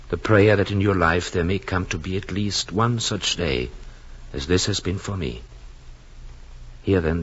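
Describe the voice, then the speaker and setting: An actor playing an earnest, gentle husband and father-to-be in a 1940s radio drama, with formal, measured phrasing. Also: sits low, husky. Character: earnest, tender husband and father-to-be, reading his journal. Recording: dull vintage radio recording with music.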